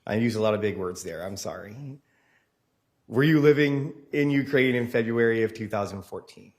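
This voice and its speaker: A man's voice: on the low side, moderate pace. A man working as a defense attorney, questioning a witness informally in a courtroom and rephrasing as he goes.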